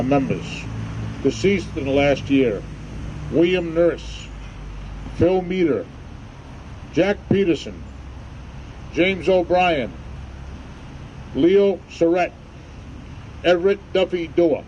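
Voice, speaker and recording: Male ceremony emcee, unhurried and plain-spoken, higher-pitched, dull outdoor sound. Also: dark tone. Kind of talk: ceremonial name reading and announcements. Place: outdoor evening ceremony in a park. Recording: muffled, faint outdoor hum and traffic noise.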